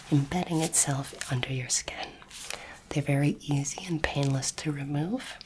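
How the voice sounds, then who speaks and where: A woman whispering gently and reassuringly in an ASMR roleplay, acting as a calm, kindly alien doctor, close to the microphone. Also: sits low, background noise. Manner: soothing, gentle, reassuring, unhurried. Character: kindly, calm female alien space doctor examining a human. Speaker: ASMR performer playing an alien space doctor.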